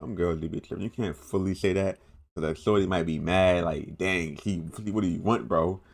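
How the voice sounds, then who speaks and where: A man's voice: sits low, normal speed, vocal fry. A young man with an American accent giving casual, unscripted reaction commentary in a slangy, repetitive, rambling way. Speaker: reaction video host.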